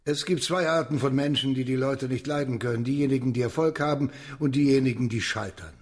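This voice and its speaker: A man's voice: medium pitch, fairly quick, natural voice. An actor playing a cynical, subversive man in a radio drama, holding forth in a sardonic, lecturing manner. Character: cynical, subversive man, theorizing mentor type. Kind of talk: German radio drama acting.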